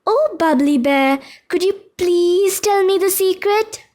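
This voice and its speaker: Extremely high, eager little bumblebee. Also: female voice, animated, takes it slowly, darkish tone, exaggerated voice. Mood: curious, pleading. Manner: eager, pleading, childlike. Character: eager young bumblebee puppet, excitable and childlike.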